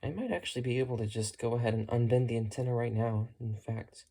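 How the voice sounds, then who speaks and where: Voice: medium pitch, quick pace, mellow. A hobbyist vlogger giving a casual, unscripted show-and-tell in a matter-of-fact way, musing tentatively while talking. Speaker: hobbyist vlogger showing thrift-store radio finds.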